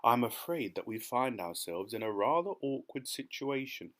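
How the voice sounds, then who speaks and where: A man acts out an elderly gentleman grasshopper from a storybook. He is a courteous optimist who reassures everyone around him. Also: medium pitch, sing-song, moderate pace. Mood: uneasy, courteous. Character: kindly old male grasshopper, cheerful, reassuring optimist.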